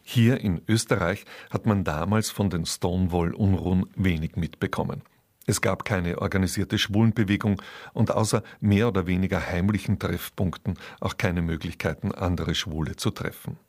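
Guy with a low voice doing a scripted radio drama intro. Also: fairly even intonation, moderate pace, darkish tone. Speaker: radio presenter.

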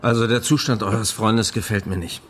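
A man's voice: lowish voice, fairly even intonation, normal speed, natural voice. An actor playing a stiff, dutiful doctor in a radio drama, formal and a little hesitant, repeating his words. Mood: firm, formal. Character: stiff, dutiful doctor, disapproving but giving in.

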